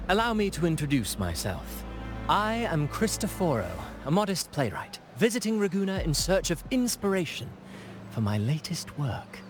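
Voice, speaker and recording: A man performs an eloquent playwright from a video game, theatrical yet humbly polite. His mid-pitched voice rolls through ornate, formal storytelling lines. Music plays clearly behind him. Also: natural voice. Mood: polite, cautioning, then courteous. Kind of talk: video game character dialogue.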